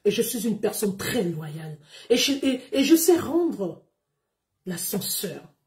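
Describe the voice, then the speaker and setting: A woman, talking straight to her followers on a livestream. Her voice is mellow and warm, and her pitch rises and falls in big, lively swings. She speaks unhurriedly and declaims each point with weight. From Gabon. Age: 30s. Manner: emphatic, declamatory, deliberate. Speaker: live streamer.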